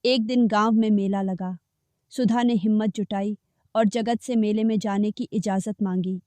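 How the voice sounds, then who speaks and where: A woman narrating a cartoon folk story in a steady, measured way. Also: mid-range voice, no echo. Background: faint background music.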